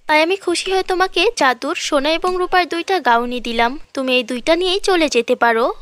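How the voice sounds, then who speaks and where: A woman voicing a kindly magical fairy in a cartoon, with a gentle, approving, measured manner. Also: high-pitched, no echo. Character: kindly magical female fairy who tests and rewards.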